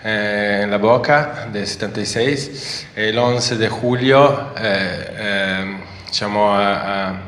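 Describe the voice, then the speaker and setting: Slow Italian-accented man in an echoey hall. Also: on the low side, a bit bright. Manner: slow, halting, emotional. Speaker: author presenting his book. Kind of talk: public speech.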